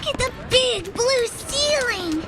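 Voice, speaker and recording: A woman playing a small, excitable, curious child girl in a video game cutscene. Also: extremely high pitch, takes it slowly, heavy background noise, exaggerated voice. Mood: amazed.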